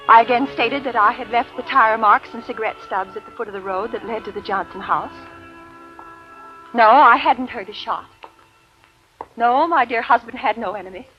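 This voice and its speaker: An actress playing a genteel, calculating widow who narrates an old radio thriller with precise, theatrical diction. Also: female voice, on the high side, moderate pace, close to an everyday voice. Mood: serene, confiding. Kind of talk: 1940s-50s radio drama acting. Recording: dull vintage radio recording, music behind.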